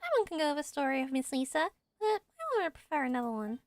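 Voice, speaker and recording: Woman voicing a very high-pitched chatty little fairy sidekick. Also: sing-song, quick pace, dry recording, clean studio sound. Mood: boastful. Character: small excitable childlike fairy companion, chatty, speaks in third person.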